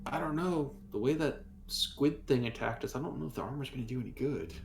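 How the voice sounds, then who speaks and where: Gravelly, casual male game player. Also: mid-range voice, fairly quick.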